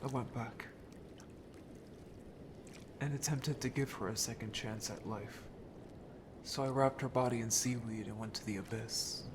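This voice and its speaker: A man's voice: medium pitch, fairly even intonation, measured pace, pauses often, noisy background, lightly acted. A man playing a guilt-ridden, anxious young merman in a fantasy audio drama, speaking hesitantly and emotionally. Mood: subdued, regretful. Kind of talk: scripted audio drama acting.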